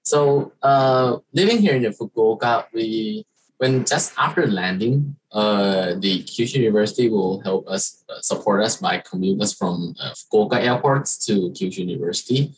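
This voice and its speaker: Young man from Thailand, Thai native speaker, student presenter on a video call; low, slightly husky voice, halting delivery. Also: poor audio. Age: young adult. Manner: halting, many fillers and restarts. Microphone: video call webcam.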